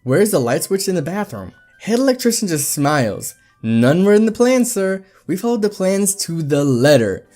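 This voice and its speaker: A man reading stories aloud and chatting between them in a lively, animated way. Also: sits high, normal speed, few pauses, faint music behind. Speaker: Reddit story narrator.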